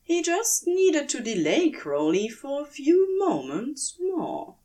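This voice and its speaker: A woman's voice: high-pitched, huge pitch swings, slow pace, mellow. A woman narrator reading scripted fiction, telling the story between lines of dialogue.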